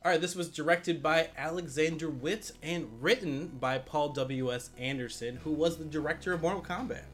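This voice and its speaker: A man's voice: on the high side, normal speed, a bit bright. A man co-hosting a podcast, talking casually and enthusiastically about films with some swearing. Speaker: podcast co-host presenting a movie countdown. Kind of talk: casual film discussion.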